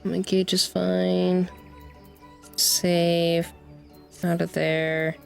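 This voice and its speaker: A woman's voice: fairly low pitch, little pitch movement, drawn-out words, creaky, darkish tone. A woman streaming a video game, chatting casually and muttering to herself. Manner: talks to self, sings little filler syllables. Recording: game music behind the voice. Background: game music.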